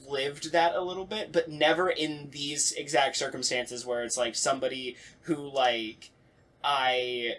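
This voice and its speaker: Casual, halting man talking shop. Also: mid-range voice, a bit bright. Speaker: voice actor on a talkback show. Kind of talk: casual chat.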